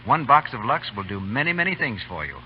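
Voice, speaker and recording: Lively American announcer on muffled old radio. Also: male voice, mid-range voice, a little fast, a little hiss or hum. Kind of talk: 1930s radio announcing and sponsor pitch.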